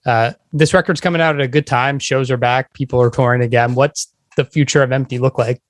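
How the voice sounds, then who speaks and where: A man's voice: mid-range voice, fairly even intonation, brisk, no room sound. A man hosting a podcast, chatting casually as an interviewer.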